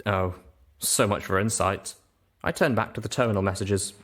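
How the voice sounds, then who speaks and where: Guy reading a first person adventure audiobook, voice on the lower side. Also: normal speed, fairly bright tone, a little hiss or hum. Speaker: narrator.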